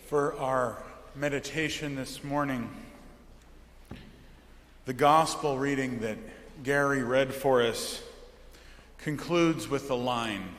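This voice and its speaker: A man preaching a sermon as a pastor, speaking deliberately and reflectively, with emphatic pauses and questions. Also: mid-range voice, a bit bright. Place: large church during worship service. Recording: reverberant church hall.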